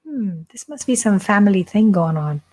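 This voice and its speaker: A woman's voice: mid-range voice, lively intonation, moderate pace, dark tone. A middle-aged woman from India with an Indian accent, hosting a playful, chatty live stream for her church and joking with viewers. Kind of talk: casual live-stream chat.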